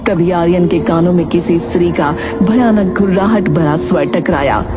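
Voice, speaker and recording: A woman's voice: on the low side, moderate pace. A woman narrator reading a scripted horror story. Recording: music behind the voice.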